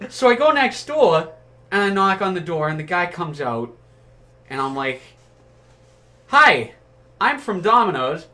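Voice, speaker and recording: A man with a bright, slightly high voice tells casual comic stories. His delivery is very lively, acting out bits of dialogue and tossing in crude swear words. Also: unhurried, pauses often. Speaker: storyteller on a comedy chat show.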